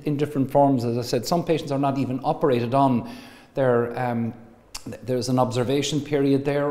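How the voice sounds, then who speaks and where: Male surgeon, 50s, halting delivery with fillers; warm timbre, lapel mic in echoey hospital room. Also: medium pitch. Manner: halting, many filler pauses. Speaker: consultant surgeon. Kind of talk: interview explanation. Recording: echoey room with long reverb.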